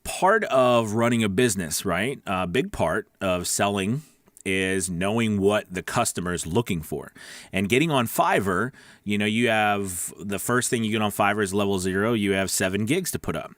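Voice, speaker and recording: A man's voice: fairly low pitch, lively intonation, normal speed, a bit bright, no echo, studio quality. A man hosting a live-streamed coaching show for new voice actors, talking in a chatty, rambling way with lots of fillers. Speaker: host.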